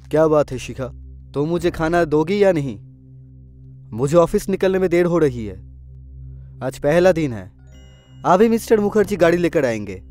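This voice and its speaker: A man's voice: on the high side, expressive, moderate pace, long pauses, a little mellow, faint music behind, lightly acted. A man voicing an earnest middle-class father in a Hindi cartoon story. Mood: impatient.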